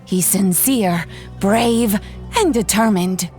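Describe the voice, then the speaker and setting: A woman voicing a kindly, proud old granny in a video game, gentle and doting, with fond chuckles. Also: mid-range voice, slow pace, faint music behind, natural voice. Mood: warm, content. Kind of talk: video game character acting.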